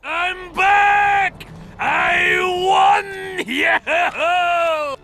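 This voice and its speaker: An actor playing a drunken, foul-mouthed soldier in a video game, slurring and rambling crudely. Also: male voice, extremely high pitch, drawn-out words, gravelly, raised voice, background noise, full character voice. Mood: rowdy.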